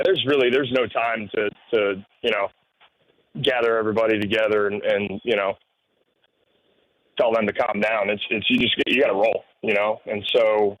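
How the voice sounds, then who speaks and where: A man who coaches high school football, explaining things in spontaneous interview answers and often saying "you know". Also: a bit bright. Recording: dull lo-fi radio audio.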